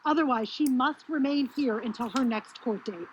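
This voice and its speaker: A woman's voice: sits high, normal speed, lo-fi sound, slight background noise. A woman with an American accent narrating a scripted television news report.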